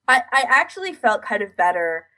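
A woman's voice: fairly high pitch, big pitch swings, a little fast, pushed and bright, rough recording. A woman co-hosting a podcast in casual chat, recounting things in detail with a self-deprecating streak. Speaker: podcast co-host.

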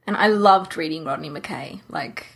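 A woman's voice: low voice, moderate pace, vocal fry, breathy edge. A woman co-hosting a podcast in casual fan chat, playful, agreeable and readily amused. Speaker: podcast co-host.

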